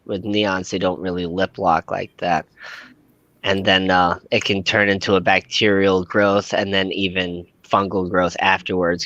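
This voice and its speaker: A man co-hosting a casual livestream, explaining fish problems in a relaxed way with frequent fillers. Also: lowish voice, dry recording, dull audio. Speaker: fish store worker and co-host.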